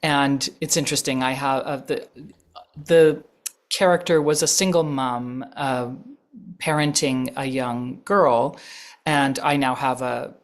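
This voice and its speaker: Woman w a deep low voice, middle-aged, talks slow and thoughtful in an interview. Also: fairly even intonation. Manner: thoughtful, measured, with frequent pauses and fillers. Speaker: actress guest. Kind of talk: reflective interview answers.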